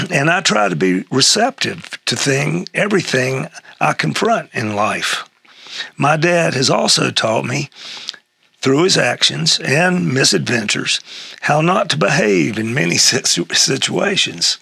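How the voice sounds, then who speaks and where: An older man with an American accent and a gravelly, husky voice, reading a humorous personal essay aloud in a halting way. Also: on the high side, very animated, bright, forceful tone, dry recording. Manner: halting, with throat clearing and chuckles.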